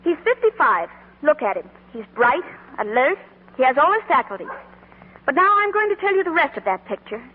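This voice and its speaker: A woman's voice: high voice, big pitch swings, a little fast, phone-quality sound. An actress playing an earnest, big-hearted young shopgirl in a 1940s radio drama, on an old broadcast recording. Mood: impassioned, pleading.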